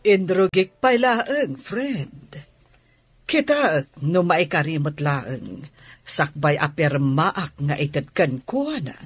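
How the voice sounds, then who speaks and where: A woman's voice: low-pitched, expressive, slow pace, slightly rough, no echo, exaggerated voice. A woman playing a fretful, conspiratorial schemer confiding in a friend in an Ilocano comedy radio drama, with an Ilocano accent. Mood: anxious scheming.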